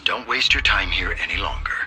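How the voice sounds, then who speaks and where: An actor playing a firm, pragmatic city mayor in a video game, speaking formally and decisively. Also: male voice, sits low, a little fast, background noise, close to an everyday voice.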